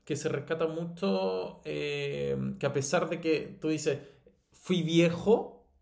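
Chilean guy interviewing, a bit high voice, stumbles over words a lot. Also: steady tone, slow pace, vocal fry, darkish tone. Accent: Chilean Spanish. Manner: stammering, thinks aloud. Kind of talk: casual interview chat.

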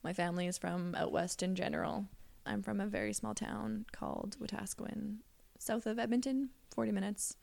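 A woman's voice: medium pitch, steady tone, normal speed, gravelly, few pauses, a little mellow. A young woman from Alberta, hosting a casual podcast chat with her team and often restarting her sentences. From Alberta, Canada. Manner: chatty, restarts sentences, asks the questions. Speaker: podcast host and producer.

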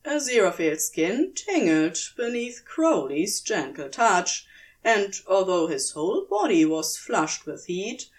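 Slow, expressive woman narrating fiction. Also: medium pitch.

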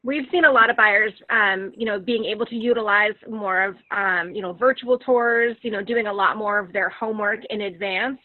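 A woman real estate agent chatting casually in a podcast, relaxed and full of fillers. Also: medium pitch, moderate pace, hardly any pauses, no echo. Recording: tinny dry phone-like sound.

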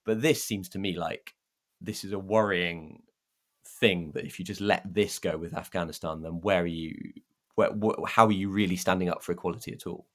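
A man's voice: low voice, steady tone, moderate pace. A man co-hosting a podcast, talking through football in an earnest, explanatory and conversational way. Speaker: podcast co-host. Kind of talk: casual football discussion.

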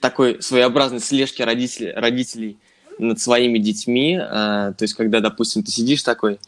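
A man's voice: mid-range voice, normal speed. A man in his twenties co-hosting a casual podcast, joking and talking directly to listeners. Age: 20s. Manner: relaxed, joking, addresses listeners as friends. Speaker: podcast co-host.

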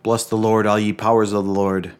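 A man reciting liturgical prayers, psalms and hymns in a solemn, measured, reverent manner. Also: lowish voice, little pitch movement, smooth.

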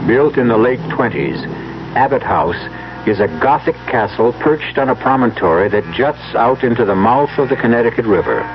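Guy narrating an old radio play, slow moody storytelling, mid-pitched, lots of hiss. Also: expressive. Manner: measured, atmospheric, literary phrasing. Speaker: radio drama narrator and host. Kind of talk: scripted dramatic narration. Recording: dull, noisy old radio recording.

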